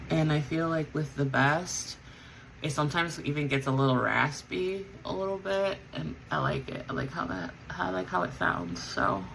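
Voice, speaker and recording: Woman vlogger, casual rambling chat, bright voice with gravelly fry. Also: deep voice, animated, normal speed, slight background noise, poor audio. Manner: rambling, with frequent fillers and self-corrections. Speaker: vlogger and band singer. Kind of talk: casual chat while getting ready.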